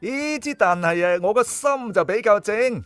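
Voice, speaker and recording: A woman's voice: medium pitch, expressive, normal speed, a bit bright, clear, resonant tone, no room sound, soft background music, natural voice. Woman voicing a crude young working-class man. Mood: defensive. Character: crude, lecherous young ex-welder man, plain-spoken.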